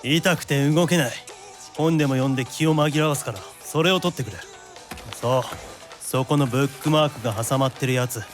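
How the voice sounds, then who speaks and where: An actor playing a haughty, dry-witted young detective in an audio drama, giving orders in a brisk deadpan. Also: male voice, mid-range voice, faint music behind, lightly acted. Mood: playfully demanding. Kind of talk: light audio drama acting.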